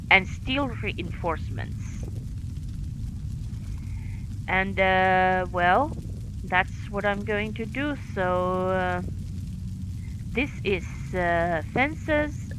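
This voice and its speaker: A woman streamer with a light Finnish accent talks through her play session at an unhurried pace. She pauses often, filling the gaps with fillers as she thinks aloud. A faint hiss sits under her home recording. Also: mid-range voice. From Finland. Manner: halting, many fillers, thinking aloud while playing. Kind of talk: live game stream commentary. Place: home in France.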